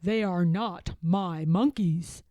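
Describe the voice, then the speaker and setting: Woman reading as a kindly, slow-spoken storybook peddler. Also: lowish voice, warm tone, dry recording, highs cut off, voice pushed down. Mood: gentle. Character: kindly, worried peddler man selling caps. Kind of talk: children's storybook read-aloud.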